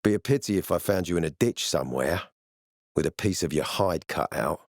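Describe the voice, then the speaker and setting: A hard-edged peacekeeper officer for a video game. He is suspicious and sardonic, with a terse, menacing way of talking that can swing widely in pitch. The sound is dry and close. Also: animated, a little fast, no room sound. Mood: guarded warning. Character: tough, suspicious military peacekeeper commander, gruff and threatening. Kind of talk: video game character dialogue.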